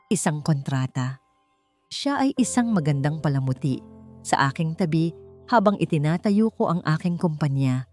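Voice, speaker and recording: A woman's voice: deep voice, darkish tone. A woman narrator plays an arrogant businessman husband in a storybook romance. The cruel, scornful speech is declamatory and emphatic, with music underneath. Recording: music behind the voice.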